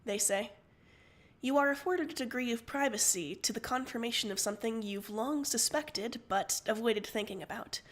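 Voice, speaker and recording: A woman's voice: a little high, moderate pace. A woman reading second-person fiction aloud as the narrator of a livestreamed story.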